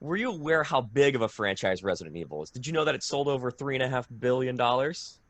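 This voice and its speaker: A man's voice: mid-range voice, animated, quick pace, no room sound. Casting: male interviewer, mid-range voice, informal and a little hesitant, recorded dry. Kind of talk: video game interview.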